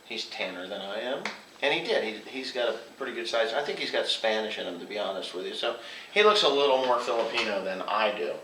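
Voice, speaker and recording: A man's voice: on the low side, expressive, quick pace. A man casually telling anecdotes, acting out bits of quoted dialogue as he goes. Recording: roomy, distant mic, faint noise.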